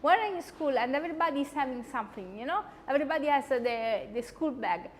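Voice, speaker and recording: Animated Italian woman, high voice, roomy. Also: fast talker, slight background noise. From Italy. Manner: chatty, animated, frequent asides. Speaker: host. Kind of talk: lecture-style talk.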